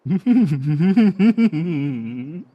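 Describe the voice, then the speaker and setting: A man voicing a bloodthirsty, doting cursed dagger in an audio roleplay, with theatrical, halting, gushing talk full of endearments. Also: on the high side, very animated, no echo, highs cut off, pitched above the performer's own voice, exaggerated voice. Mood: gleeful, doting. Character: obsessive cursed talking dagger, bloodthirsty, doting, giddy, fawning.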